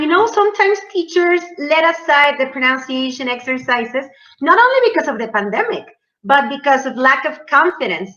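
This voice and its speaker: A woman's voice: high-pitched, pushed and bright, muffled recording. A woman moderating an online conference Q&A, asking questions and reading out audience comments, heard over a video call. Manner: reads audience comments, brief affirmations.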